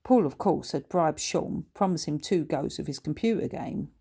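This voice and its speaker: A woman reading a children's book as its author, in expressive, scripted storytelling narration. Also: low-pitched, moderate pace, husky.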